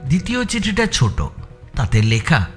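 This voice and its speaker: A man's voice: on the high side, big pitch swings, moderate pace, faint music behind. A man reading scripted story narration as an audiobook narrator.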